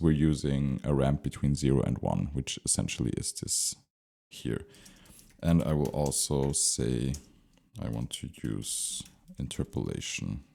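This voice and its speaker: A man giving an unscripted, step-by-step software tutorial, thinking aloud with some hesitation as he explains. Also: rumbling low, gravelly. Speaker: tutorial presenter.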